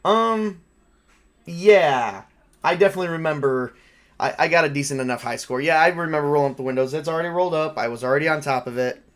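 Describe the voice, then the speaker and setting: A man with a fairly high voice acts as game master for a tabletop game. He improvises in a casual, conversational way, often halting to find the next detail.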